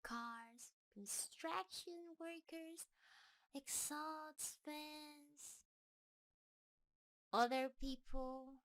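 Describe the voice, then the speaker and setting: A woman's voice: high voice, steady tone, extremely slow, long pauses, fairly bright tone, full character voice, poor audio. A woman playing a shy, sweet, lovestruck spider monster in an intimate roleplay audio, nervous and hesitant in her delivery. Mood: tender, soft. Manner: nervous, hesitant, stammering, gushing.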